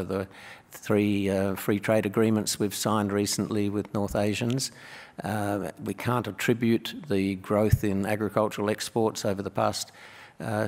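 An older man speaking on a conference panel, measured and hesitant, pausing often on fillers, into a table microphone. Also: fairly low pitch, creaky, a little mellow. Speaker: panellist, economics professor. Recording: long hall reverb.

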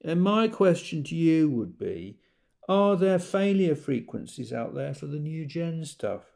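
A man's voice: on the high side, big pitch swings, a little slow, gravelly, a little mellow, exaggerated voice. A man playing a busy, jargon-heavy corporate consultant on a one-sided call in an audio drama, businesslike but hesitant.

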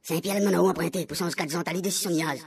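Chatty spirit, réunion creole accent, super fast talking, gravelly, radio comedy over music. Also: male voice, a little high, steady tone, distant mic. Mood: relaying. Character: chatty obliging spirit acting as go-between for a medium. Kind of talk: comic radio serial acting. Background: music under the voice.

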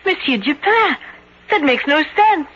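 An actress playing a young, dutiful and bewildered French fiancée in an old-time radio mystery. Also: female voice, high-pitched, animated, a little slow, fairly bright tone. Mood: disbelieving. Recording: noisy, humming, dull old radio recording.

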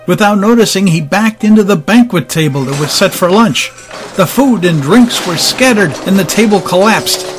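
A man narrating a dramatized audiobook in a scripted storytelling style. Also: high voice. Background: music.

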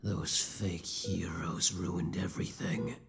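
A man's voice: low-pitched, flat delivery, slow pace, gravelly, in a whisper, pitched below the performer's own voice, exaggerated voice. A young man voicing an obsessive, lovesick young male villain in a theatrical, brooding audio roleplay. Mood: vengeful, brooding. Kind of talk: roleplay audio drama monologue.

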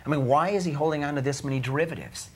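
A man's voice: a little high, racing, breathy edge. An actor in his forties playing an earnest, doubtful auditor, tactful and hesitant, in a corporate training-film drama. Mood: puzzled. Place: indoor office.

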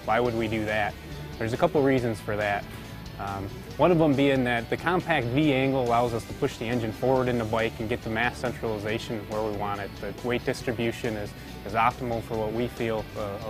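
A man giving an informal technical explanation as an engineer presenting product features. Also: mid-range voice. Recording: music playing behind the voice. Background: background music.

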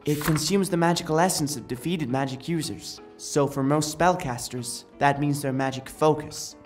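Brisk female expert for a fantasy comic dub, acted by a woman; low pitch, clear explanatory manner. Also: a little mellow, faint music behind, lightly acted. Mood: matter-of-fact. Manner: matter-of-fact, explanatory. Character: brisk, knowledgeable female guide explaining magic items.

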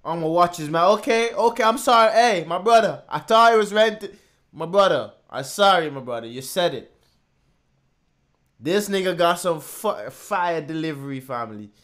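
A man in his twenties giving casual, slangy and animated commentary as he reacts to music. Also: high-pitched, normal speed. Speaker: reaction video host. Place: small indoor room at home. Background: music from the video he is watching.

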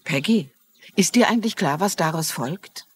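A woman's voice: lowish voice, expressive, moderate pace, close to an everyday voice. An actress playing a courteous, inquisitive older woman amateur detective in a dubbed TV crime drama. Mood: probing. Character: shrewd, polite older female amateur sleuth.

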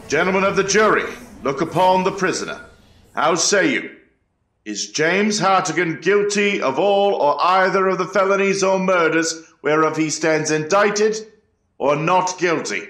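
A man's voice: high-pitched, lively intonation, a little slow, fairly bright tone. A man playing an eighteenth-century court clerk, calling out formal, ritual proclamations to a jury. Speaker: actor in a historical trial reenactment. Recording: echoey room.

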